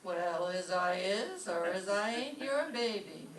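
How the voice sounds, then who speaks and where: A woman's voice: medium pitch, takes it slowly. Wry schoolteacher, acted by a woman, echoey stage recording. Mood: dry, wry. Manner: deadpan, sarcastic. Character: wry, sharp-tongued single woman schoolteacher. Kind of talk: staged old-time radio comedy acting. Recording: distant roomy stage recording, faint noise.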